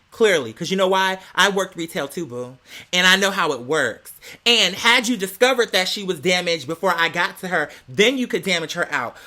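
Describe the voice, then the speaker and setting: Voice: medium pitch, bright, forceful tone. A vlog host telling a personal story in a sassy, emphatic, slangy way, theatrically acting out the dialogue. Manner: sassy, slangy, emphatic, peppering talk with "girl"; theatrical, acts out quoted dialogue. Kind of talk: animated gossipy storytelling vlog.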